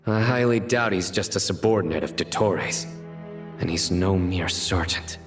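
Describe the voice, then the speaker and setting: An actor playing a stern, vigilant general in a video game, serious, terse and commanding. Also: male voice, low voice, moderate pace, soft background music, lightly acted. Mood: wary.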